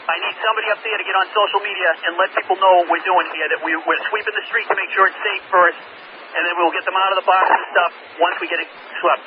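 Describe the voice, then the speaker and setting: Male commander, rapid high-pitched briefing, static-laden scanner audio. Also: steady tone. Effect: two-way police radio. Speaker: police commander on scene. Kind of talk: rapid operational radio briefing. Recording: muffled police scanner with static.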